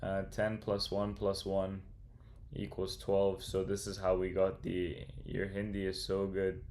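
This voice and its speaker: A man's voice: sits low, completely flat delivery, a little slow, darkish tone, slight background noise. A young man casually livestreaming as he works through a coding problem, thinking aloud and chatting with viewers in a laid-back, slangy way. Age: young adult. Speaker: streamer and computer science student.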